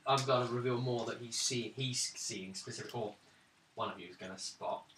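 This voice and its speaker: Man, game master, halting narration, crisp forward tone, distant mic, light noise. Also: mid-range voice, a bit bright. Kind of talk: narrating a tabletop roleplaying game. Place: indoors, around a gaming table. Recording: roomy, distant mic, hissy background noise.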